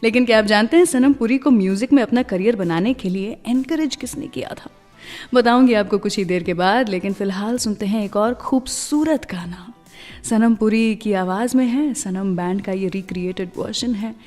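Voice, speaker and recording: A woman's voice: mid-range voice, normal speed, darkish tone, big-room sound. An Indian woman presenting a music radio show in a conversational, chatty storytelling way, slipping between Hindi and English phrases.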